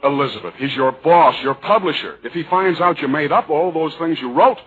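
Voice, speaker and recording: A man playing a stuffy, anxious gentleman fiancé with pompous, formal diction in a 1940s radio drama. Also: a little high, lively intonation, brisk, breathy edge. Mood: worried. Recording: muffled vintage radio broadcast.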